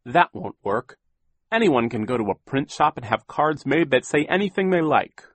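A man voicing a stern, fussy gentleman in authority for an audiobook, scolding with pompous, indignant emphasis. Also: medium pitch, lively intonation, normal speed, no room sound, pitched above the performer's own voice, close to an everyday voice. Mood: firm, reproving. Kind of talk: audiobook character voice.

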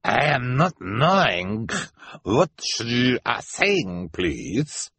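A man's voice: medium pitch, sing-song, drawn-out words, gravelly, bright, forceful tone, husky, dry recording, voice pushed up, exaggerated voice. A man voicing a sly villain posing as a foreign auctioneer, with a put-on foreign accent and stilted, oily politeness. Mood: feigned politeness. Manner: stilted broken English, oily false politeness.

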